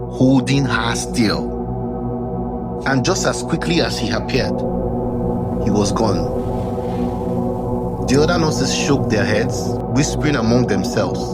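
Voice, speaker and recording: A man narrating a scripted folktale dramatically, with measured suspense and deliberate pauses, as a storyteller. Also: on the low side. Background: background music.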